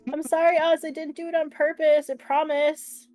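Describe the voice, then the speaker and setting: A woman's voice: high-pitched, flat delivery, moderate pace, darkish tone, soft background music. A woman improvising casually in character as a tabletop game player, hesitant and searching for her words.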